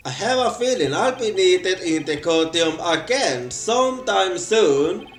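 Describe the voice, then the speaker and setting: A man reading lines as a calm, seasoned male attorney in a quiet, laid-back tone. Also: sits high, a little slow, echoey room, faint music behind.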